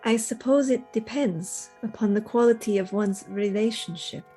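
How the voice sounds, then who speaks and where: An actress playing a poised, enigmatic young houseguest in a radio play, speaking in cool, measured, formal phrases. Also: female voice, fairly high pitch, warm tone, faint music behind, lightly acted. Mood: cool, guarded.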